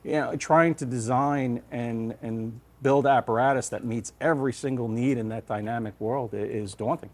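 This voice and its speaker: A man being interviewed as a fire lieutenant, talking conversationally and deliberately in short, thought-out phrases. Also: medium pitch, fairly even intonation.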